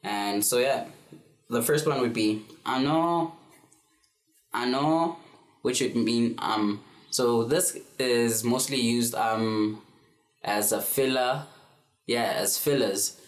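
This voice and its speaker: A man in his twenties from South Africa giving a casual beginner language lesson, easygoing, with frequent ums and fillers. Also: mid-range voice. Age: 20s. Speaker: language tutor. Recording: roomy echo.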